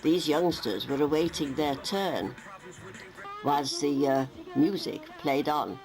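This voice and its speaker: A woman's voice: deep voice, takes it slowly. A woman giving scripted local newsreel narration with light wry humour. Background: music.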